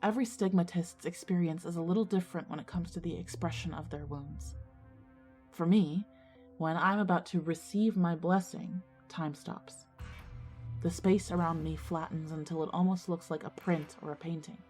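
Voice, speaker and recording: A woman acting as an earnest schoolgirl visionary, narrating her own ghost story in a measured way for an audio drama. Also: on the low side, fairly even intonation, darkish tone, faint music behind, natural voice. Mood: solemn, hushed. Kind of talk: scripted first-person dramatic narration.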